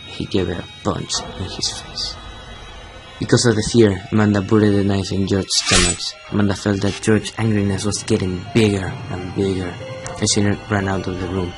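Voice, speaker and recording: A young man with a Chilean accent narrates a horror story in a very deep voice. His scripted delivery is dramatic and suspenseful, like a storyteller in a radio play. Music plays clearly behind him. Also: level tone, moderate pace, rough recording. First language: Spanish. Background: prominent music.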